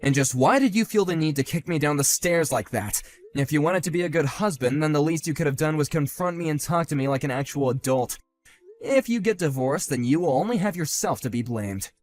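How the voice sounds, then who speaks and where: A man voicing a calm, sardonic grown man who talks in a level, dry and cutting way, in a one-man voiced drama. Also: on the high side, brisk, faint music behind, lightly acted.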